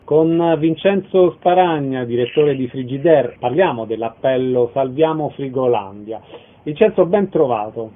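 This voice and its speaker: A man's voice: mid-range voice, expressive, a little slow, narrow, tinny audio, soft background music. A man presenting radio interviews as host, in an orderly, courteous way. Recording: thin band-limited sound, faint noise.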